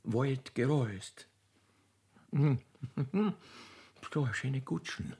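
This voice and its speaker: A man's voice: medium pitch, drawn-out words, gravelly, mellow, pitched above the performer's own voice. A man voicing a naive young Austrian country girl, chatting in simple, hesitant dialect in a comic radio play. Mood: naive wonder.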